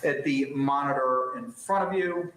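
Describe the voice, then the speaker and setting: A man's voice: mid-range voice, measured pace, a bit bright. Man in a very echoey courtroom. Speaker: lawyer questioning a witness. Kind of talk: formal courtroom questioning. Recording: very echoey courtroom, faint noise.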